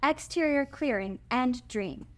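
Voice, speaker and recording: A woman's voice: sits high, lively intonation, measured pace. A woman narrating stage directions from a script at a table read.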